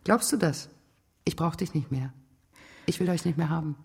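A woman's voice: deep voice, normal speed, creaky, husky, exaggerated voice. An actress playing a cold, controlling older businesswoman in a radio drama, speaking in clipped, commanding phrases. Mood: cold, dismissive. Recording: music behind the voice. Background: music.

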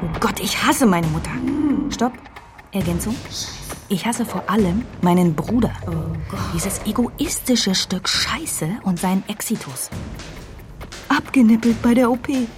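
Actress as angry young woman for sci-fi radio drama: caustic, sarcastic, mid-pitched, faint music behind. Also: expressive, unhurried, lightly acted. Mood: bitter, angry. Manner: sarcastic, biting, swearing. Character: bitter, sarcastic young woman working in tech, venting angrily. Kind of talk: naturalistic radio drama acting.

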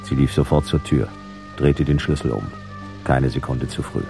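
A hard-boiled private eye narrating a radio play in a very deep, gravelly voice. He is laconic and dry, speaking in clipped phrases over music. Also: fairly even intonation, moderate pace. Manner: terse, dry, clipped sentences. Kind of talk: noir radio drama narration.

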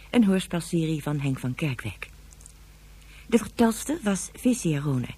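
Low, husky woman announcer, dry sound. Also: warm tone. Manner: formal, orderly reading. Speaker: closing announcer. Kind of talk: scripted credits announcement.

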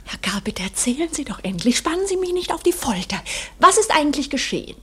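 An actress in a German radio play as a tired, curious young secretary, polite and easily alarmed, breathy and often near a whisper. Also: female voice, high-pitched, very animated, quick pace. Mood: impatient.

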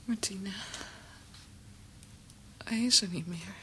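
An actress playing a grief-stricken young woman in a radio drama, speaking in a hushed, halting way. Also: mid-range voice, a little hiss or hum. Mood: stunned, grieving.

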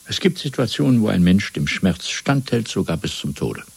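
A totalitarian interrogator in a seventies radio play. The voice is deep and husky, and every phrase is deliberate and clinical, full of controlled menace. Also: male voice, very animated, heavily acted. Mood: coldly menacing. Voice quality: husky, rough low voice. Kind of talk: 1970s German radio play acting.

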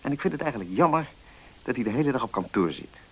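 An actor playing a middle-aged notary in a Dutch radio play, measured and deliberate, kindly but firm. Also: male voice, mid-range voice, sing-song, creaky, a little mellow, husky, heavily acted. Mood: thoughtful.

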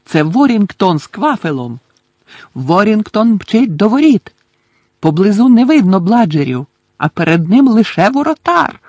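A man voicing an excited, openly partisan teenage boy commentating on a match in an audiobook, with urgent, shouted delivery. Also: high-pitched, a little slow, dry recording, pitched above the performer's own voice, heavily acted. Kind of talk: audiobook character voice.

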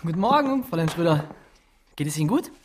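A man's voice: fairly high pitch, very animated, fast, slight background noise. An actor playing a reserved, patient young Englishman in a German radio drama, polite, dry and understated. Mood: polite, bemused.

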